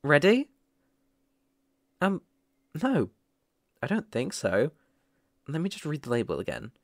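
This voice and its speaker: A man's voice: on the high side, huge pitch swings, slow, no echo, heavily acted. A young man playing a caring, playful boyfriend looking after his sick partner in an intimate, soothing ASMR roleplay, close to the microphone. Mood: cheerful, caring, then gently coaxing. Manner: gentle, soothing, affectionate, chuckling often. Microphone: close microphone, dry.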